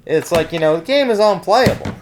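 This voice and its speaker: A man's voice: high-pitched, animated, brisk, slight background noise. A man giving a casual, rambling video game review in an informal, conversational way. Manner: rambling, informal, frequent "like, you know" asides.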